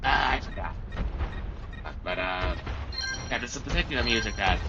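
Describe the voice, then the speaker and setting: Man, low voice, casual rambling gamer chat, muttering asides, dull lo-fi sound. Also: expressive, moderate pace, creaky, recorded from a distance, very noisy. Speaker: gaming streamer practicing character voices. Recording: dull lo-fi audio, loud game music behind. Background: loud game music.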